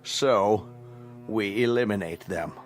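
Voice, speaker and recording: An actor playing a cold, smug state chancellor in a radio drama, speaking formally with condescending, mocking menace. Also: male voice, fairly low pitch, takes it slowly, faint music behind. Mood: cold conviction.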